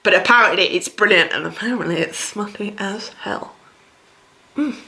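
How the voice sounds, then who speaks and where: A woman book vlogger chatting casually to viewers in an effusive, expressive way. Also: mid-range voice, normal speed, creaky. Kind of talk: casual chatty video monologue about books.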